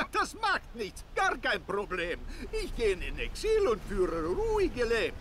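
An actor playing a pompous, whining deposed dictator in a video game, with a thick foreign accent and broken grammar. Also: male voice, very high-pitched, wildly swooping pitch, slow, fairly bright tone, a little hiss or hum, heavily acted. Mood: nervously placating. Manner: pompous, theatrical, whiny.

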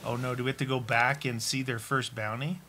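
A man's voice: mid-range voice, little pitch movement, a little fast, bright, forceful tone, slight background noise. A man chatting casually and playfully with a co-player during a video game. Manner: joking, playful. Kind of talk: casual gameplay banter with a co-player. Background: video game ambience.

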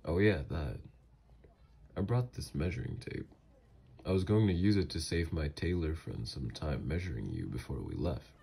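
A man performs a mafia boss lover for an intimate ASMR roleplay. His voice is very deep, dark and mellow, with a gravelly fry. He is doting and flirtatious under the gruffness, and easily flustered. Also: lively intonation, moderate pace, heavily acted. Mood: affectionate, playful.

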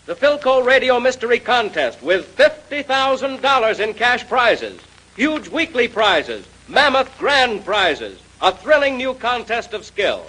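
High, husky male radio announcer. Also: big pitch swings, bright, forceful tone, low-quality recording. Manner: emphatic, drawn-out key phrases. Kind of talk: 1930s radio announcing, scripted.

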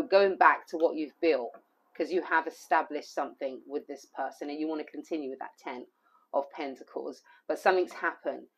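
A hoarse woman giving an intuitive tarot reading, rambling and repeating phrases with plenty of fillers. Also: sits low, rough recording. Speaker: tarot reader.

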